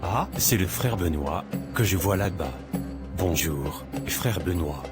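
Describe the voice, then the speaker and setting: Man doing a worried young guy, very low voice, earnest, cartoon. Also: lively intonation, slow, over loud music. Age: young adult. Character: troubled young man, law student.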